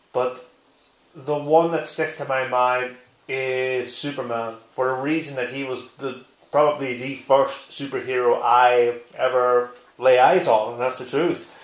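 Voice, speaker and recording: A man talks casually to his viewers in an echoey living room. His pitch sits in the middle range, and his manner is informal and blunt, like someone recording a home vlog. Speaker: video blogger. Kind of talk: casual tag-response vlog chat.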